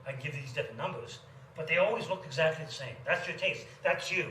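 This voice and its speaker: A man from Canada with a Canadian accent, tutoring economics in a casual one-on-one chat, quick and chatty and often repeating himself. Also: fairly high pitch, pushed and bright. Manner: quick, chatty, repeats phrases, many yeahs. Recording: echoey room, mic at a distance, faint noise.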